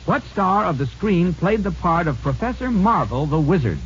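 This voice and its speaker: A man's voice: high-pitched, animated, moderate pace, highs cut off, a little hiss or hum. A man hosting a 1940s radio quiz show, bantering chattily and teasing the contestants, on an old radio recording. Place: radio studio with audience.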